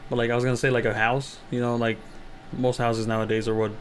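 A man's voice: mid-range voice, little pitch movement, quick pace, a little hiss or hum. A man chatting casually in a tentative, questioning way. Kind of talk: casual chat.

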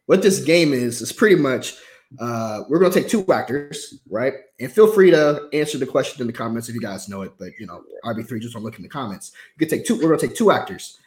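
A man's voice: mid-range voice, lively intonation, quick pace, a little mellow. A man hosting a casual podcast, explaining a game and talking to the live chat in an upbeat way. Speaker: podcast host. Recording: roomy echo.

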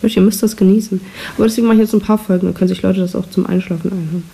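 A woman's voice: mid-range voice, steady tone, rapid-fire, gravelly, warm tone. A teenage girl hosting her own podcast, chatting casually in a rambling, self-deprecating way, recorded on a cheap microphone with audible hiss. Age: teens. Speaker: podcast host. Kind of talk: casual solo chat.

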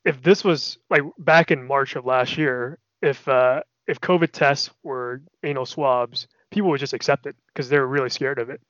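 A man with a mid-range voice hosts a loose chat and slips deadpan jokes into his questions. The sound is dry and a bit muffled. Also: medium pitch, no room sound. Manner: deadpan, asks provocative questions. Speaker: podcast host. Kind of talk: casual podcast hosting.

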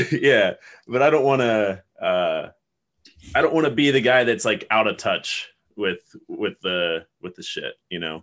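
Man, 30s, from Ohio, lowish voice, easygoing and rambling; casual podcast co-host. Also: expressive, a little slow, a bit bright. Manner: laid-back, rambling, laughs often. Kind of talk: casual chat.

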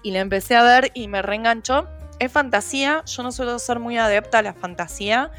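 A woman's voice: a little high, a little fast, fairly bright tone, faint music behind. Chatty Rioplatense woman, slangy. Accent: Rioplatense Spanish. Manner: chatty, slangy, frequent fillers. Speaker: podcast co-host. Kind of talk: casual chat.

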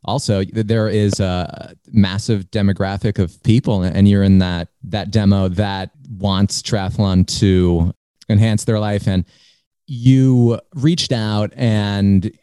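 A male podcast host reads a measured introduction with occasional small restarts before moving into conversation. His voice is fairly low and mellow in tone, recorded close and dry with no room sound. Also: steady tone, smooth, dry recording. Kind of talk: scripted intro then interview.

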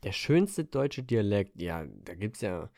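A man's voice: on the low side, sing-song, takes it slowly, mellow, dry recording, studio quality. A man co-hosting a podcast in casual chat, rambling and hesitant, often circling back with fillers. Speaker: podcast co-host.

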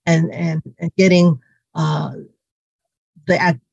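Halting woman physical therapist, dry sound. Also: low-pitched, flat delivery, gravelly, a little mellow, dry recording, dull audio. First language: Cantonese. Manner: halting, many fillers and restarts. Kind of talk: interview answers.

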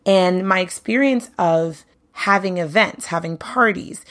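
A woman's voice: fairly low pitch, a little slow, a bit bright. Chatty New woman hosting a podcast. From Brooklyn, New York. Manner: chatty, upbeat, casual phrasing with many "you know"s. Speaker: podcast host, singer and bandleader. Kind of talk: casual solo podcast monologue.

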